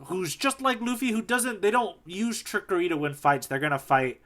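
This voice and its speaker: Bright-voiced man chatting as podcast co-host. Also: sits high, moderate pace. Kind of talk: casual chat, manga discussion.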